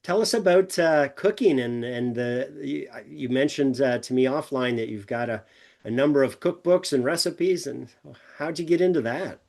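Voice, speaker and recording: A man's voice: mid-range voice, normal speed, creaky, a little mellow. A man hosting a business podcast, introducing a guest and asking questions upbeat with frequent fillers. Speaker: podcast host, business coach.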